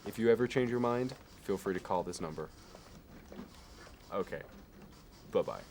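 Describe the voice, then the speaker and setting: Casting: man performing slick Wall Street dealmaker for ASMR roleplay, lowish voice, fussy polish, salesman's condescension, noticeable noise behind. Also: lively intonation, normal speed, darkish tone. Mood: persuasive, politely firm. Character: smooth, obsessive, status-minded male Wall Street banker.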